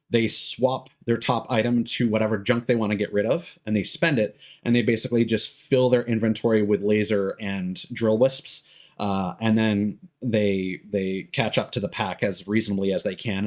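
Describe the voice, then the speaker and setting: A man casually commentating on a livestream, thinking aloud in a rambling way with hesitant pauses. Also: lowish voice, fairly even intonation. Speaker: game livestreamer.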